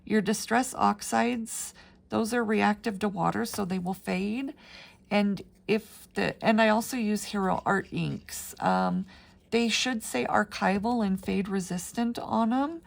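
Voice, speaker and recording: A middle-aged woman, raspy from the flu, chatting her way through a paper crafting tutorial with plenty of ums. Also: mid-range voice, measured pace. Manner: chatty, rambling with frequent ums. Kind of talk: casual step-by-step craft tutorial.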